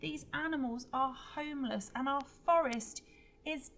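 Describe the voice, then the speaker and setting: Woman playing a high, impassioned storybook girl. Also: measured pace. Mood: urgent pleading. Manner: loud, emphatic crying out. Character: brave impassioned young girl protesting for the forest.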